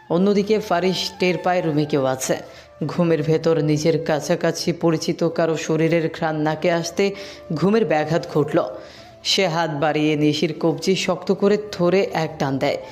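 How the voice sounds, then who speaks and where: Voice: medium pitch, darkish tone. A narrator reading a scripted romantic story as the storyteller. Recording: background music under the voice.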